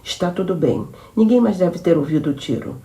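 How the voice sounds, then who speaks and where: A woman reader voicing a calm, efficient man who reassures and gives firm instructions. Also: on the low side, fairly quick, far from the mic.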